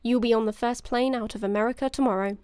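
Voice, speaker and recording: Composed, high-voiced commanding woman, played by an actress. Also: steady tone, darkish tone, no echo, studio quality, lightly acted. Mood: cool, formal. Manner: formal, measured, smoothly reassuring. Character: composed, authoritative female leader of a covert organization. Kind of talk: audio drama acting.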